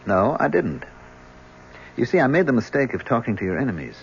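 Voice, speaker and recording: A man's voice: mid-range voice, big pitch swings, fairly quick, gravelly. An actor playing an embittered young man in an old-time radio drama, coolly menacing behind sardonic courtesy. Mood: bitter, accusing. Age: young adult. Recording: muffled, noisy old radio recording.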